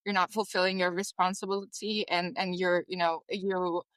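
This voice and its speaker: A woman's voice: fairly low pitch, flat delivery, normal speed, a bit bright, no room sound. A young woman from Turkey, a guest on a podcast, speaking earnestly with many fillers. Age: young adult. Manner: earnest, filler-heavy with "like" and "you know". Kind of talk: casual interview.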